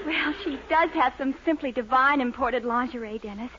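A woman's voice: high-pitched, pushed and bright, muffled recording, slight background noise, exaggerated voice. An actress playing a young woman who coaxes and hints at her boyfriend, with theatrical sighs, in 1940s radio sitcom acting. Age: young adult. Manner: coaxing, hinting, dramatic sighs. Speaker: girlfriend in a sitcom.

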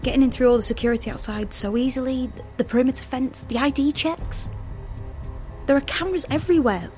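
A woman acts a young female rebel in an audio drama. Her voice is a little high, with a wary, questioning edge and dry sarcasm. Music runs underneath her lines. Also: a little fast, a little mellow, natural voice. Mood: uneasy.

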